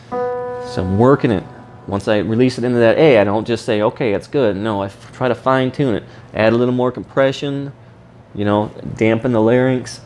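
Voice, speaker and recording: A man demonstrating and explaining a vocal warm-up, his voice a little rough from a recent sinus infection. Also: medium pitch, a little hiss or hum. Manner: explains while demonstrating sung vowels. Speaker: singing teacher.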